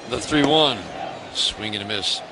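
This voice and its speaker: A man calling baseball play-by-play on the radio, briskly, his voice rising on big plays. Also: fairly low pitch, crowd noise, noisy background. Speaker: play-by-play announcer.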